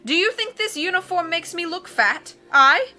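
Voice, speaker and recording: Woman doing a blustering male sea captain, booming, excitable, high and bright, storybook read-aloud. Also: moderate pace, soft background music, heavily acted. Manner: booming, rattles off "aye"s and rhetorical questions.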